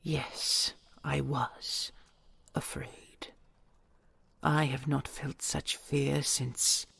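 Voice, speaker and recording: A woman playing an ancient dragon queen, a tender and possessive lover, in an intimate romantic audio roleplay, whispery and hushed with formal archaic phrasing. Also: low voice, no room sound, full character voice. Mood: pleading, fearful. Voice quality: breathy, whispery.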